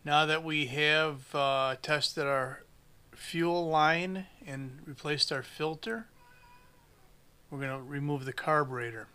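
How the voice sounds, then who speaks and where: A man's voice: a little high, slow, bright, forceful tone, clean tone. A man in his forties narrating a chainsaw repair at his workshop bench, plain-spoken with dry humor. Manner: plain-spoken, dry humor, thinks aloud while working. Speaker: small engine repair shop mechanic.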